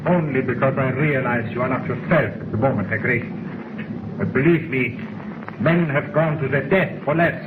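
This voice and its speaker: An actor playing a cold, smug foreign spy leader with a German accent, menacing and mock-courteous, in 1940s radio drama style. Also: male voice, mid-range voice, moderate pace, close to an everyday voice. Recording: scratchy tinny 1940s radio recording, heavy noise.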